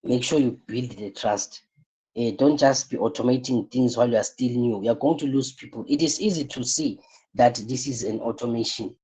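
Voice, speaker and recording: A man's voice: medium pitch, normal speed, mellow, husky, poor audio. A tired man, coaching a live online class and repeating his points with rhetorical questions. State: tired, voice strained.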